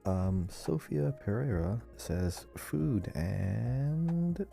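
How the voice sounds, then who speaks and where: Guy w really dark soft low voice, chatting on a gaming podcast, faint music behind. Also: lively intonation, drawn-out words, gravelly. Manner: reads out listener messages with chatty asides. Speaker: podcast co-host. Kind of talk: casual gaming podcast chat.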